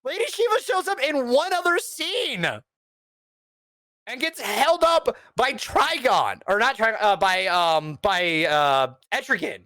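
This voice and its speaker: A woman with a lively, swooping delivery in a close, dry recording. She co-hosts a casual review show and gives opinions bluntly, with slang and swearing. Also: on the high side, a little slow, no room sound. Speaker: podcast co-host reviewing animated films.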